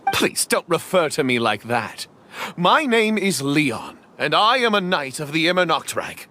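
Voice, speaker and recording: Formal polite knight, voice jumps all over, a bit high, music in back. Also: male voice, big pitch swings, a little slow, background noise. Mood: pleading. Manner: courteous, formal, pleading. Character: polite young male knight trapped as a statue. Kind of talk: game voice acting.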